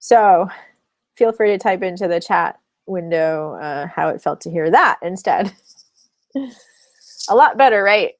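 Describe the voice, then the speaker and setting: A woman's voice: fairly low pitch, expressive, measured pace, pauses often, dry recording. A woman relationship coach teaching a live webinar in a chatty, explanatory way, laughing between points.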